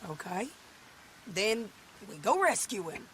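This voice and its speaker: A woman's voice: medium pitch, sing-song, takes it slowly, fairly bright tone, slight background noise, rough recording. An actress playing a blunt, determined frontier gunwoman, in a video game cutscene. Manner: blunt, commanding.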